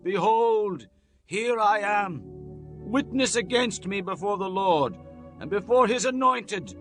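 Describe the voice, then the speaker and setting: Man playing stern elderly prophet, high bright voice, slow solemn declamation, audio Bible drama, music underneath. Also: expressive, exaggerated voice. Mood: solemn challenge. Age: older. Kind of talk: dramatized King James Bible reading.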